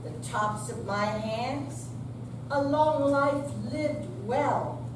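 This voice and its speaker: A woman's voice: sits high, extremely slow. An older woman reciting humorous rhyming verse in a staged reading. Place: senior center room, far mic. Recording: distant mic, echoey room, noisy.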